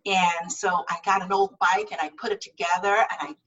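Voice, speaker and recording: A woman's voice: on the low side, fairly even intonation, fairly quick, fairly bright tone, recorded from a distance, lo-fi sound. A woman from Guyana, a guest telling about her cycling group in an earnest, casual way that repeats words as she goes. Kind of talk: casual interview talk.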